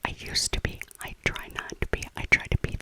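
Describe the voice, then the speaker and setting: A middle-aged woman whispering a breathy, rambling ASMR shopping voiceover, often repeating her phrases. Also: a little fast.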